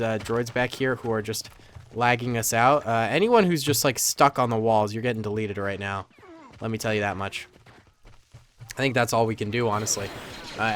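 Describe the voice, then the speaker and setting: A man's voice: medium pitch, fairly bright tone, a little hiss or hum. A man hosting casual commentary over a battle simulator game, playful and slangy with frequent chuckles, his nose stuffed up from a cold. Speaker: gaming channel host.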